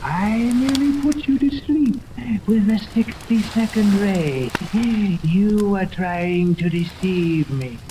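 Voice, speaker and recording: A woman's voice: medium pitch, slow, natural voice. An actress playing an imperious, menacing female villain in a 1930s radio serial, speaking with haughty, commanding, formal diction. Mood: gloating. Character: imperious, menacing woman villain with a paralyzing ray gun. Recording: noisy old 1930s radio recording.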